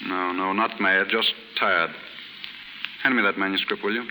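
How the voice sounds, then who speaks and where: An actor playing a busy, paternal author in a 1930s radio drama, measured, fond and gently correcting. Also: male voice, sits low, fairly even intonation, natural voice. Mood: weary. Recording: hissy 1930s radio recording, reverberant stage.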